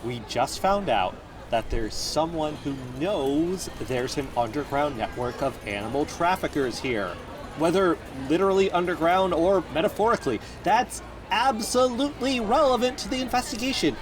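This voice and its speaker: A man's voice: fairly high pitch, moderate pace, over music, lightly acted. An actor playing an earnest, brooding amateur investigator who narrates his own conspiracy-minded story in an audio drama. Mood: insistent. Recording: faint background noise.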